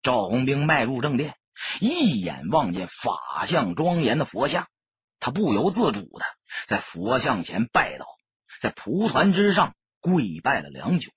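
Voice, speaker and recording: Very husky male voice, dramatic audiobook narration, emphatic delivery, dry close sound, slightly dull lo-fi recording. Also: medium pitch, unhurried, no room sound. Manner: expressive, emphatic storytelling delivery. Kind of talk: dramatic scripted narration in storyteller manner.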